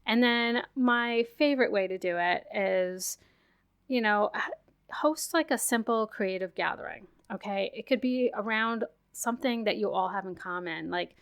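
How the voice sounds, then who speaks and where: Woman hosting a wellness podcast, chatty and encouraging, lots of "like", voice a bit worn out. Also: medium pitch. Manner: chatty, many "like" fillers, encouraging. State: losing her voice after a virus. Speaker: podcast host. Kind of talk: casual solo podcast talk.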